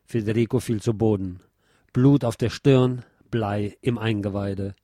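A man giving a scripted literary talk and reciting poetry in a measured, deliberate, declamatory way, like a radio presenter. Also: fairly low pitch, fairly even intonation, dark tone, no echo, studio quality.

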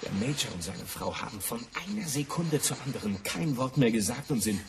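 An actor playing a lively young hotel guest in a radio drama, telling gossip in a vivid way. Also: male voice, medium pitch, brisk, creaky, noisy background. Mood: eager, amused. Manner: animated, vivid storytelling. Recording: slightly distant, music behind.

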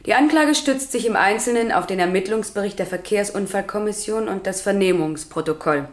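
An actress playing a state prosecutor in a radio drama, formal, official and declamatory. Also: female voice, mid-range voice, a little fast, natural voice. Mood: official, accusing. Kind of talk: radio courtroom drama acting. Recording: roomy, echoing courtroom sound.

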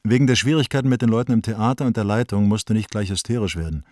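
A man's voice: on the low side, fast talker, a little mellow, no room sound, studio quality, lightly acted. An actor in a radio play as an encouraging bohemian man, reading his letter to a friend in a colloquial, urging way. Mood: urging, familiar.

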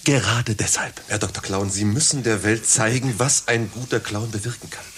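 An actor playing a flattering, earnest company promoter in a satirical radio play, fawning and persuasive. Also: male voice, on the low side, normal speed, close to an everyday voice. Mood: coaxing. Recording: hissy radio play recording.

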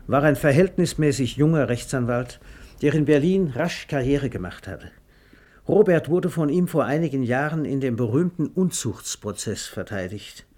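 A man's voice: mid-range voice, darkish tone. A man narrating a literary radio play in a scripted storytelling style.

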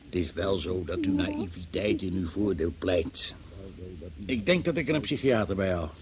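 An actor playing a stern, impatient investigating magistrate in a Dutch radio play, probing and sceptical. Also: male voice, low voice, normal speed, a crowd in the background, lightly acted. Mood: brisk, officious. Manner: probing, sceptical, officious, exasperated.

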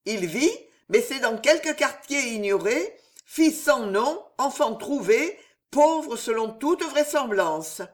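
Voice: expressive, slow pace. A reader voicing a furious, icy queen. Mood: cold. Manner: raises pitch and volume, snapping and roaring in anger. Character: imperious, icy, vengeful queen. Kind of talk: audiobook character reading.

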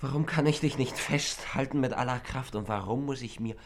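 An actor playing an ardent, tormented lover in a German radio play, speaking in a solemn, pleading, poetic way. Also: male voice, mid-range voice, a little fast, vocal fry, slight background noise, natural voice. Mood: fervent longing.

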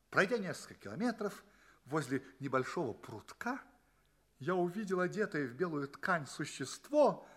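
An actor playing an earnest, polite space traveller who recounts his adventures in theatrical audio play narration, with dramatic pauses. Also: on the high side, bright, forceful tone, exaggerated voice.

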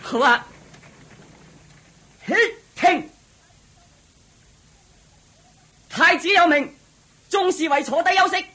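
A man playing a carefree, servile royal charioteer in a Cantonese audio drama, excitable and chirpy. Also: extremely high pitch, slow, heavily acted. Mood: commanding.

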